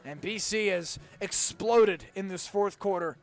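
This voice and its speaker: High-voiced young male play-by-play caller. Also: faint music behind. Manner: brisk, precise calls that rise sharply on goals. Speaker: play-by-play announcer. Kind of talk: radio play-by-play commentary.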